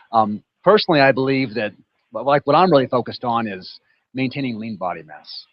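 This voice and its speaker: A man's voice: mid-range voice, expressive, normal speed, no room sound. Fifties man chatting casually on a panel. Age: 50s. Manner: casual, lots of "you know" and "right". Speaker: athlete guest. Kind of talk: panel discussion answer. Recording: dull dry audio.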